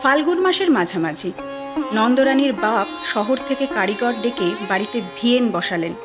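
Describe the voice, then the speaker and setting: A woman tells a ghost story with wry, lively scripted narration. Her voice sits a little high. Sitar music plays clearly beneath her. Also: normal speed. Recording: sitar music bed behind.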